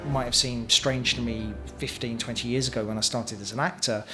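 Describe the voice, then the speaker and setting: Male actor-director interviewee, medium pitch, reminiscing with music clearly underneath. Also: fairly even intonation, fairly quick. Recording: music playing behind the voice. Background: background music.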